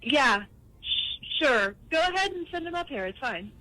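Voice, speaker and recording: A woman's voice: sits high, sing-song, unhurried, bright, forceful tone, soft background music. A woman answering a phone call, speaking casually in short replies and questions. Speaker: recipient of a radio prank phone call.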